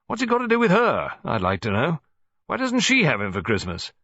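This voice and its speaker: Man doing a gruff, blustering old English colonel. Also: a little high, wildly swooping pitch, fairly quick, sharp tone, no room sound, highs cut off, voice pushed up, exaggerated voice. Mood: irritated, blustering. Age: older.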